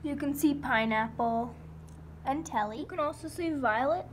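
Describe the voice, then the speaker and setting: High-voiced woman giving a toy barn tour. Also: normal speed, a little hiss or hum. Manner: lists names in a steady run of "and then". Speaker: model horse hobby vlogger. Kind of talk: casual guided tour narration. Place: indoors in a home room beside a toy horse barn.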